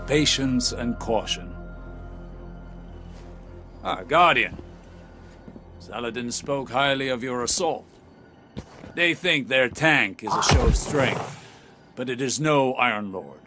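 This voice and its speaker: A man playing a proud, patient spymaster in a video game, speaking with solemn, deliberate authority. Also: mid-range voice, close to an everyday voice. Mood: resolute. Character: proud, patient male spymaster mentoring an outcast. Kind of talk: scripted video game dialogue. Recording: very loud music behind voice.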